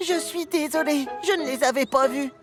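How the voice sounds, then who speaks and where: Woman voicing a high-pitched, cheeky little engine boy. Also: normal speed, natural voice. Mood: kindly teasing. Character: friendly, cheeky young male steam engine. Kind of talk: children's cartoon dub. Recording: music behind the voice.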